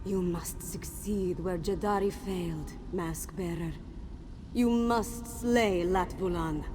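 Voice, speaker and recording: Low, solemn cat-woman sage, noisy background. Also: slow. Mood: solemn, foreboding. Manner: solemn, cryptic, speaks of self in third person. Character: grave, mystical Khajiit cat-woman warrior sage. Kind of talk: fantasy video game character acting.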